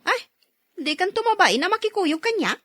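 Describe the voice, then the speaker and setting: A modest but frank working woman in her thirties played by an actress talks in a radio drama. Her voice is high, Ilocano-accented and recorded very dry. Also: very animated, moderate pace, a bit bright, dry recording, heavily acted. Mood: indignant. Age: 30s. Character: modest, hardworking 31-year-old woman, bashful but frank.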